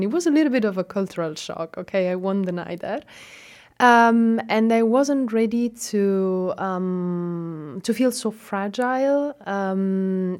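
A young woman with an Italian accent, Italian her first language, speaking as a guest in a casual interview, reflective and hesitant with many ums and soft laughs. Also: medium pitch. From Italy.

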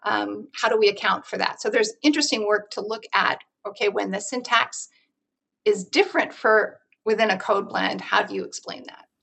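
A woman's voice: medium pitch, normal speed, vocal fry, fairly bright tone. A woman researcher explaining her field in an interview, thoughtful, with many fillers and restarts. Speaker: researcher guest.

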